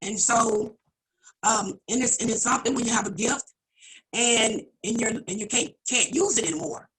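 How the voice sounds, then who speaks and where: A woman pastor speaks on a video call, her voice a little raspy from a vocal cord implant yet bright and forward. She talks earnestly and prayerfully, often restarting a phrase before pushing on. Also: a little high, normal speed, poor audio. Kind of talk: virtual audience member sharing testimony.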